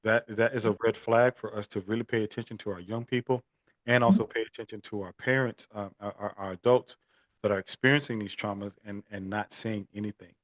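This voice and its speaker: A man's voice: on the low side, fairly even intonation, brisk, dry recording, low-quality recording. A man from Miami speaking as a podcast guest on equine therapy, earnest and prone to repeating words as he starts a thought. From Miami, Florida. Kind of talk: casual interview talk.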